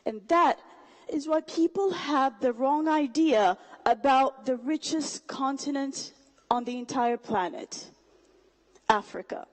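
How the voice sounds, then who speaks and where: A young woman with a high voice gives a speech to a live audience. She talks in an engaging, rhetorical way and keeps asking the crowd questions. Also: slow pace. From Somalia. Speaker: student speaker.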